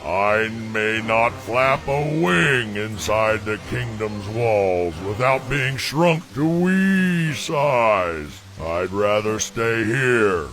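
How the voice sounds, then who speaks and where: A man voicing a proud, brooding exiled dragon in an animated film, speaking in a dry, grandiose, theatrical way. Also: lowish voice, exaggerated voice. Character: banished male dragon, gruff, brooding, proud of his might.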